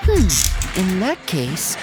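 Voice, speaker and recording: A calm, teasing young stage performer from an anime game. The voice is on the low side yet playful and varied, with faint music behind. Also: female voice, extremely slow, exaggerated voice.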